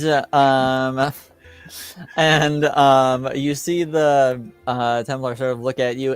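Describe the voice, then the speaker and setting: Halting man narrating a tabletop game over music. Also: medium pitch. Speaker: game master.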